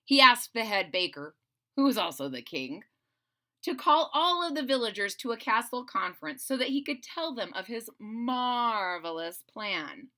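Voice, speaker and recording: A woman reading a storybook aloud to children, expressive and conversational, speaking directly to young listeners. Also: fairly high pitch, moderate pace. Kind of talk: animated children's read-aloud with casual asides.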